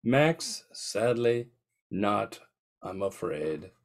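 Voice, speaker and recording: Husky, pompous old tycoon, played by a man. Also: lowish voice, very animated, drawn-out words, heavily acted. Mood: rueful. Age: older. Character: gruff, pompous wealthy old industrialist uncle. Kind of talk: amateur old-time radio mystery acting.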